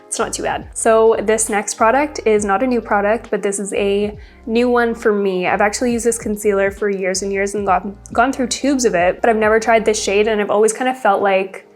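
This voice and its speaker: A woman's voice: mid-range voice, steady tone, brisk, faint music behind. A young woman with a congested voice, chatting casually through a makeup routine as a beauty vlogger. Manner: chatty, upbeat, rambling product talk. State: congested from a cold. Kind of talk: casual get-ready-with-me chat.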